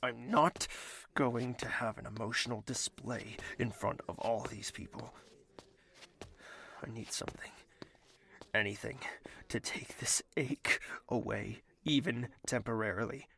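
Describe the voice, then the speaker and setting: An actor playing a proud, wounded young male royal with a fierce temper in an audio drama, speaking in an intense, imperious and deliberate way. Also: medium pitch, fairly even intonation, gravelly, pushed and bright, slight background noise. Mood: pained, brooding. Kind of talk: dramatic audio drama acting with narrated inner thoughts.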